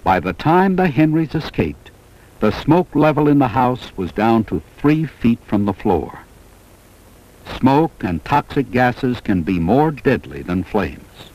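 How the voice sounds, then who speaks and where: A man reading scripted educational film narration in a measured, deliberate way. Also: medium pitch, very animated, a little mellow, rough recording.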